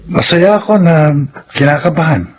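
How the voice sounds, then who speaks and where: A man's voice: a little high, normal speed, slight background noise, lightly acted. An actor playing a remorseful ex-convict who wants to change his life, speaking earnestly in a radio drama. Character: remorseful ex-convict son seeking a new life. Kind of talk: classic radio drama acting.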